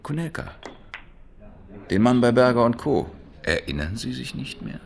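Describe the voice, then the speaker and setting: An actor playing an outwardly affable, quietly persistent man in a radio play, speaking politely and deliberately, with insinuation. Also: mid-range voice, big pitch swings, creaky. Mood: affable, probing. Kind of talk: radio drama dialogue acting.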